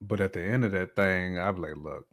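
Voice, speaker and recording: A man's voice: sits low, normal speed, fairly bright tone. An American man giving his opinions in a casual podcast chat, with colloquial, slangy phrasing.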